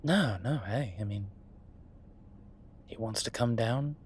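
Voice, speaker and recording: A man's voice: mid-range voice, slow, slight background noise. A man acting as an easygoing chef talking to a partner in a naturalistic audio roleplay, conversational, hesitant and wry. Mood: wry, amused. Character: Beau, easygoing male chef, reassuring his werewolf partner. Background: ambient sound effects.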